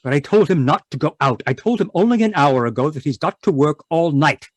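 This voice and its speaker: A man acts an imperious, irritable husband in an old radio play. The voice is rough-edged and swings widely in pitch, dismissive and impatient, recorded dry and close. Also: lively intonation, fairly quick, no echo. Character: stern, obsessive, irritable husband tending experimental roses. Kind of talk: old-time radio drama acting. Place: live video call performance. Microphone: video call microphone.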